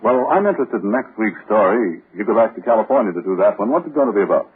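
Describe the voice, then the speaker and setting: A man's voice: lowish voice, animated, quick pace, husky. A man announcing a 1940s radio program and reading its sponsor's ads in a formal announcer style. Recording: tinny old radio broadcast.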